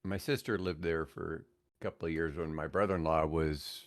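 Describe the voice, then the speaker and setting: A man's voice: extremely low pitch, fairly even intonation, normal speed. A man in his sixties with an American accent, chatting casually and telling stories as a podcast guest, with a wry, self-mocking, joking manner. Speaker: podcast guest, veteran radio host and guitarist. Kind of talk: casual storytelling chat.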